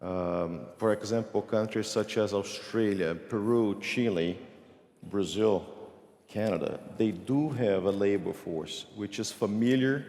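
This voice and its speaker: A man speaking as a panelist at a conference, laying out his points one by one in a measured way, with restarts and "gotta". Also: lowish voice, darkish tone. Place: conference stage in a large hall. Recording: long hall reverb from a conference stage.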